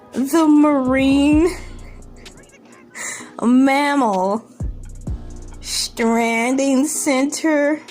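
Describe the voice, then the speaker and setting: A woman reading news aloud as a humorous online creator, dropping in sarcastic, joking asides with theatrical, drawn-out emphasis. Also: on the high side, a little mellow. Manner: sarcastic, joking asides between read lines. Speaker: online video creator reacting to a news article. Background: background music.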